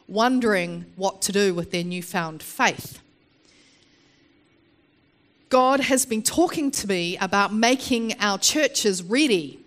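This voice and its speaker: A woman preaching a sermon in the open air, sniffly from hay fever, earnest and explanatory with frequent pauses and restarts. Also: mid-range voice.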